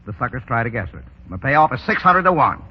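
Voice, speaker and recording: An actor playing a cocky, shrewd racketeer in a 1930s radio drama, sardonic and full of clipped slang. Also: male voice, medium pitch, big pitch swings, quick pace, slightly rough. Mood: persuasive. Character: cocky, shrewd tough racketeer, wry and commanding. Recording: dull old 1930s radio broadcast.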